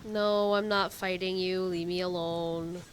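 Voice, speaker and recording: A woman's voice: fairly low pitch, fairly even intonation, a little slow, slight background noise. A woman gamer doing casual gameplay commentary, informal and offhand, half talking to herself. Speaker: gamer reacting to a story quest.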